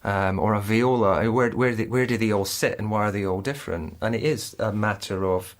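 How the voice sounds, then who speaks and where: A man's voice: lowish voice, brisk. Musing podcast host, a man. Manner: thinks aloud, restarts sentences while searching for words. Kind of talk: conversational podcast interview.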